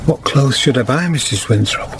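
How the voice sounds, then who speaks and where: A man playing a lonely, stubborn old bachelor weaver in a radio drama, plaintive and halting. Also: medium pitch, expressive, very noisy, lightly acted. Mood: dazed, resolute.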